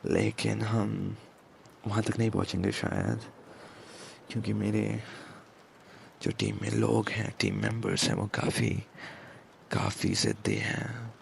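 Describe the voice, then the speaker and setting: A man voicing a smug, possessive mafia boss in an intimate ASMR audio roleplay, with a gravelly, halting murmur and menacing endearments. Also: lowish voice, expressive, slight background noise, exaggerated voice.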